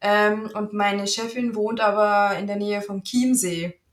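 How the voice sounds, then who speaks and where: A woman's voice: medium pitch, steady tone, measured pace, echoey room. A young woman whose first language is Italian, chatting casually with many fillers as an interview guest. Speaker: interview guest, office assistant and freelance translator.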